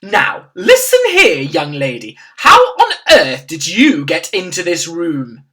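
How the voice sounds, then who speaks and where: A woman doing a middle-aged maid, flustered, deferential, homely, raised pitch, lively swoops. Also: unhurried. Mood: angry. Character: middle-aged maid, fussy, plain-spoken, anxious.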